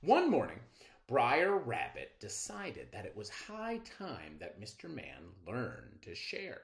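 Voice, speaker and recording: Slow, highly animated male storyteller. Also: vocal fry. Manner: expressive, deliberate phrasing. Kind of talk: read-aloud children's story narration.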